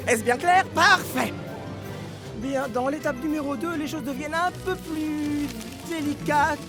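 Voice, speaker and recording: A man's voice: very high-pitched, measured pace, music in the background. Norman, fussy cartoon assistant coach. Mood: eager, confident. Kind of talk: French cartoon dub acting.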